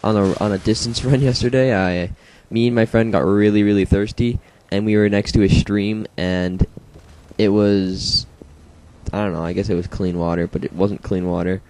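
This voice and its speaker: A young man, slightly hoarse from a congested throat, rambling casually through his own match footage with many fillers and self-deprecating asides. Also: fairly low pitch, fairly even intonation, moderate pace, darkish tone. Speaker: gameplay commentator. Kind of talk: casual gameplay commentary.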